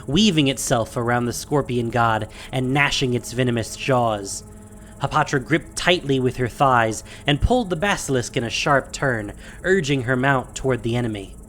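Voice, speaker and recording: A man narrating an audio drama in a measured, dramatic storytelling manner. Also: mid-range voice, a bit bright, slight background noise. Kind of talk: dramatic scripted fantasy narration.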